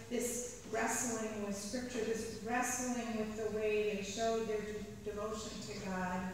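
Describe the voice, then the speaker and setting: A middle-aged woman priest reading a sermon from the pulpit, measured, slowing down to stress key phrases. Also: medium pitch, slight background noise. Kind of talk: read sermon. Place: large church sanctuary. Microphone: pulpit mic at a distance. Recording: echoey church hall from far off.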